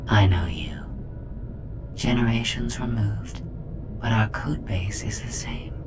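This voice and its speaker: Stilted droid, noisy game audio. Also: female voice, extremely low pitch, slow, full character voice. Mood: defiant, insistent. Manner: clipped, stilted machine-like phrases. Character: female droid template unit, cold, halting, insistent. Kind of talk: video game voice acting.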